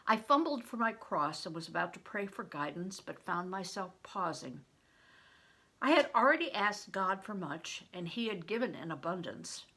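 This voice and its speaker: An older woman reading a children's novel aloud as expressive storytelling narration. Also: on the low side, moderate pace, breathy edge.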